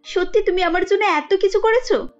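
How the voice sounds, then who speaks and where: A woman's voice: very high-pitched, brisk, faint music behind, pitched above the performer's own voice. A woman voicing a moody, emphatic young wife in a cartoon, melodramatic in delivery, with dull lo-fi sound. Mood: delighted, touched. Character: moody, dramatic young wife, quick-tempered yet easily delighted. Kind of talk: cartoon story dialogue.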